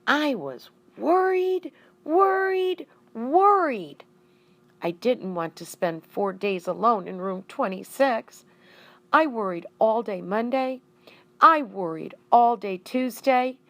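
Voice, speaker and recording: Woman as an earnest, unhurried little hamster. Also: on the high side, expressive, fairly bright tone, no echo, pitched above the performer's own voice, heavily acted. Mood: worried. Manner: dramatic, emphatic, repeating words for effect. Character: earnest, excitable young male pet hamster narrator.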